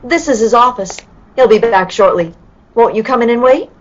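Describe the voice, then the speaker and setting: A woman as an animated, high-voiced detective's companion. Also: moderate pace. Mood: courteous. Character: polite, earnest young woman, detective's helpful companion. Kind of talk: old-time radio play acting. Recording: muffled video-call audio.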